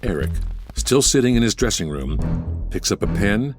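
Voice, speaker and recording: A man's voice: fairly low pitch, sing-song, normal speed. A man narrating scripted stage directions for an audio drama, with music behind him.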